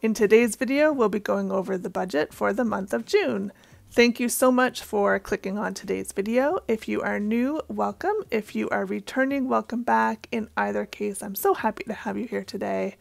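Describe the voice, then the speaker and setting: A young woman from Canada talks through her monthly budget in a friendly, chatty way, dropping in soft ums as she writes. Her voice is gravelly and a little hoarse today, with a raspy edge. The sound is dry and close, with no room echo. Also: medium pitch, moderate pace. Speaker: budgeting channel host. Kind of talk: casual talk-through while working on paper.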